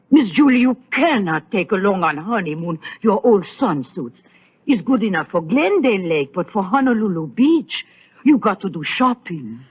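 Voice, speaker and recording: Tinny old radio recording: doting, bossy housekeeper, husky, sing-song, fretful broken English. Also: female voice, mid-range voice. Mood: gushing, doting. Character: fussy, motherly foreign housekeeper Hannah, sentimental and bossy. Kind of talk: old radio soap opera acting.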